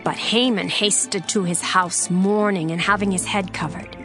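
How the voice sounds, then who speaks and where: A woman narrating a dramatized scripture reading in a measured, formal way. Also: medium pitch, steady tone, a bit bright, soft background music.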